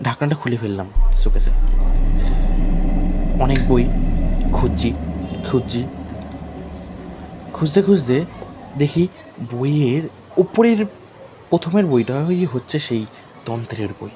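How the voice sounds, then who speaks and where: Man telling horror, clearly noisy recording. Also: mid-range voice, big pitch swings, unhurried, pauses often. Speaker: horror story narrator. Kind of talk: dramatic first-person horror storytelling.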